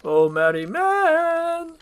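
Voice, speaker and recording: A woman voicing a pompous, flamboyant French male outlaw hero with theatrical, drawn-out delivery in a fairy-tale reading. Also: extremely high pitch, big pitch swings, pitched above the performer's own voice, heavily acted. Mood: suave, condescending. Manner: theatrical, drawn-out, sing-song.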